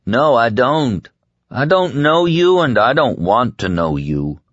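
Man playing a halting, withdrawn outcast. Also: mid-range voice, very animated, no echo, lo-fi sound. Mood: flat denial. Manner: mumbling, halting. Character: numb, fearful outcast man disowning a friend.

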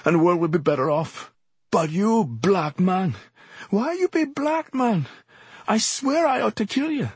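A man's voice: high-pitched, animated, slow pace, a bit bright, slightly rough, no echo, voice pushed up, exaggerated voice. A male audiobook reader voicing a heavyset, furious and anguished street exhorter who pleads passionately with emphatic repetition. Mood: anguished rage. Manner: impassioned, declamatory, emphatic repetition in dialect. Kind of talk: audiobook character dialogue.

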